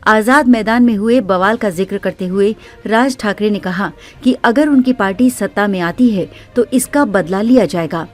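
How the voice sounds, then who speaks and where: A woman's voice: mid-range voice, normal speed, few pauses. Woman reading formal news over music. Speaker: news narrator. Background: background music.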